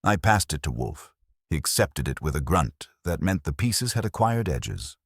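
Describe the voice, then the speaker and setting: A man narrates a first-person detective story with an extremely low, husky voice. His delivery is wry and hard-boiled, with expressive rises and falls. The recording is close and dry. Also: moderate pace, no room sound. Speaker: audiobook narrator.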